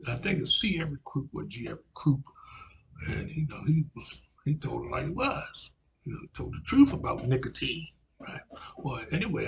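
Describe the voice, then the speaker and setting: A man in his forties with a raspy voice, a religious teacher giving a rambling monologue that repeats itself and is filled with "you know.". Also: medium pitch, a little hiss or hum. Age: 40s. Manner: repetitive, frequent "you know" fillers, lecturing.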